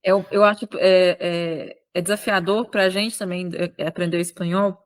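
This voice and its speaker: A woman's voice: on the low side, steady tone. A woman hosting an interview, speaking in a friendly, chatty way. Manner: friendly, chatty, with quick laughs. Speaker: host, lawyer and columnist. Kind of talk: casual interview chat.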